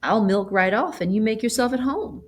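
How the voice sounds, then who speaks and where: Woman voicing a folksy old country grandmother, higher-pitched. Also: moderate pace, close to an everyday voice. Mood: gracious. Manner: folksy, gossipy. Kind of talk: storybook character voice.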